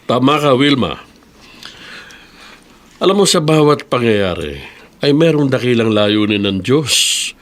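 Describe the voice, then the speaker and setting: Man playing a kindly, lively-toned boss in radio drama. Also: medium pitch, a little slow, long pauses, close to an everyday voice. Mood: gentle, reassuring. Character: kind, devout male boss, reassuring and generous. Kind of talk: Filipino radio drama acting.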